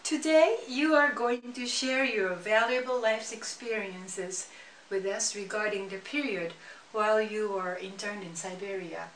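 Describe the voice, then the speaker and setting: A middle-aged woman interviews in a polite, measured way. Her voice sits at an ordinary pitch and carries a roomy, distant sound with faint noise behind it. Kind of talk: formal interview questions. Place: living room at home. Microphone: far camera.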